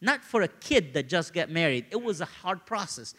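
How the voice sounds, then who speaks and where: A man, preaching in an animated, conversational storytelling style and repeating phrases for emphasis. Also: on the high side, normal speed. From Egypt, grew up in Cairo. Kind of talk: sermon with personal storytelling.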